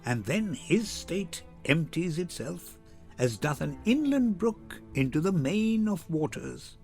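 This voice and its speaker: Man as noble lady, raised pitch, refined, musing, unhurried theatrical verse. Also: big pitch swings, creaky, soft background music, heavily acted. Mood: serene, musing. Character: Portia, poised, reflective noble lady. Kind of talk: dramatic Shakespeare reading.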